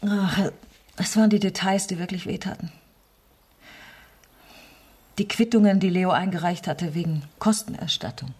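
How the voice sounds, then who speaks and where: A woman's voice: fairly low pitch, moderate pace, long pauses, a little mellow, slightly rough. An actress playing a bitter, betrayed middle-aged woman politician in a naturalistic radio drama, brooding with wounded irony.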